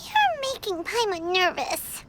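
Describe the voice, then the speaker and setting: A squeaky excitable fairy companion. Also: female voice, measured pace, noisy background, full character voice. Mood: excited. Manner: chirpy, curious, talks about herself in third person. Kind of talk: game voice acting. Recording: game audio with loud music.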